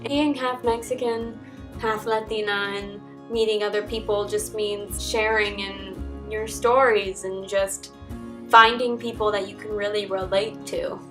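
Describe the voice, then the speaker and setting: A young American woman chatting casually to camera as a vlogger, with an animated way of telling personal stories. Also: medium pitch, a little slow. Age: young adult. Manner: animated, acts out quoted conversations. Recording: distant mic, background music. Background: acoustic folk instrumental music.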